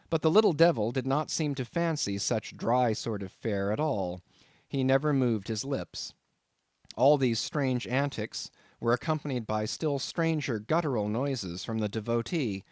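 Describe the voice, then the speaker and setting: A man's voice: mid-range voice, no echo, studio quality. A man reading a literary novel aloud as scripted audiobook narration. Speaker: audiobook reader.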